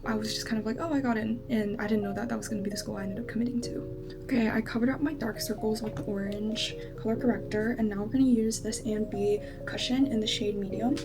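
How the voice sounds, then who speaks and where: Chatty young woman over background music. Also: medium pitch. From China. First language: Chinese. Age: 20s. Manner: chatty, lots of likes and ums. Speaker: beauty vlogger. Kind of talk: casual makeup vlog chat.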